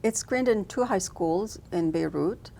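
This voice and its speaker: Low, gravelly-voiced woman filmmaker from Lebanon. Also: lively intonation, measured pace, mellow. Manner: thoughtful, frequent restarts and fillers. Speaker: filmmaker guest. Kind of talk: interview answers.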